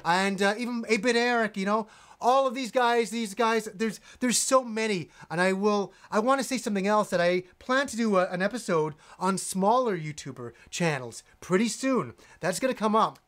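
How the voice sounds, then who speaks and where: A man in his forties, a gaming channel host, reading donations on a live stream with lively enthusiasm and rambling restarts, in a room with game shelves. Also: high voice, normal speed. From Yorkshire, England, raised in Canada. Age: 40s.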